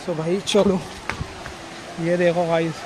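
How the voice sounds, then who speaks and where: High-voiced young man vlogging outdoors. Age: 20s. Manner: excited, informal, calling out to companions. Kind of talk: casual vlog commentary to friends and viewers. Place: outdoors on forested rocky trail near waterfalls. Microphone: phone at arm's length. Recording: noisy outdoor phone audio with rushing water.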